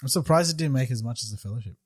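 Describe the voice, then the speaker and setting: Husky-voiced man, blunt and jokey. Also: medium pitch, animated, racing, no echo, clean studio sound. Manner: blunt, jokey, swears, teasing. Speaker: podcast guest. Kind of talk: casual podcast chat.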